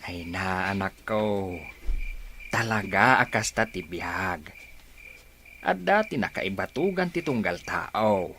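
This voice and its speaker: Man as caring old village father, slow, animated pitch, resigned sighs, radio drama, noisy background. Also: heavily acted. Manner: sighing, tender, fatherly.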